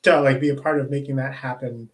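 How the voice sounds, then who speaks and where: A man who stutters, interviewing a guest in a slow, supportive way with many fillers and repeated words. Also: mid-range voice, steady tone. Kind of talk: casual interview.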